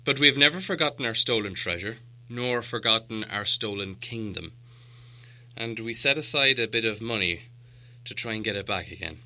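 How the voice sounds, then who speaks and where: A man acts out a storybook dwarf leader with a forceful, sharp-edged voice. He is proud and gruff, speaking with imperious weight as one used to being obeyed. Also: lowish voice, lively intonation, moderate pace, heavily acted. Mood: brooding. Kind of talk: storybook character voice.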